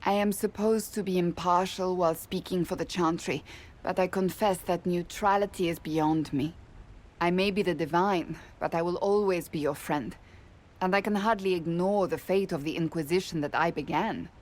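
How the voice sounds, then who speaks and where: A woman's voice: on the low side, normal speed, a little mellow, close to an everyday voice. A woman playing a dignified, steadfast warrior priestess in a video game, formal and earnest. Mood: resolute, loyal. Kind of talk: dramatic video game dialogue.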